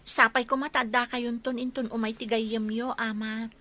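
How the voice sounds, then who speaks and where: A woman's voice: a little high, flat delivery, normal speed, pushed and bright. A woman playing a dutiful, polite young village daughter in a radio drama, with a Filipino accent. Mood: obedient.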